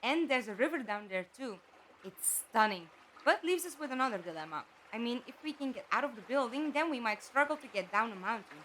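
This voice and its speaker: A woman acting in an audio drama as a practical, inquisitive captive who talks in a direct, conversational, gently wry way. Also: a little high, lively intonation, a little fast, slight background noise, rough recording. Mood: animated.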